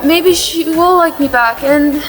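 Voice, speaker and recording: A woman's voice: high voice, a little slow, fairly bright tone, faint music behind. A young woman playing a lovesick, anxious and blunt teenage girl in a contemporary audio play. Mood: anxious confiding. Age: teens to young adult.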